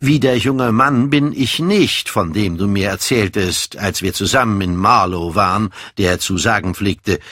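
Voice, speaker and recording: A man's voice: fairly low pitch, measured pace, hardly any pauses, a bit bright, no echo, studio quality. A man voicing a vain, callous young aesthete in a haughty, declamatory audiobook style. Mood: airy, reproachful. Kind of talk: dramatic audiobook character reading.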